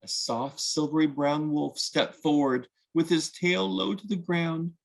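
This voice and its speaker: A man hosting a children's read-aloud, telling a picture book story expressively and deliberately, inviting listeners to join in. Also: fairly high pitch, fairly even intonation, gravelly.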